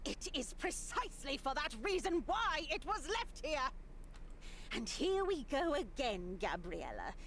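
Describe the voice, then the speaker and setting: Stern pious nun, high voice, haughty, reproachful, visual novel drama, faint background noise. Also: female voice, very animated, measured pace, a bit bright, full character voice. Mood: cold, reproachful. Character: stern, sanctimonious nun, scolding her young charges.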